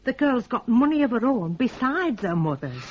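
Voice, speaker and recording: An actress playing a scheming middle-aged mother in a radio drama, brisk and nagging, with a Northern English accent. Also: fairly high pitch, sing-song. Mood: scheming, bossy. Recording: dull 1980s radio broadcast recording.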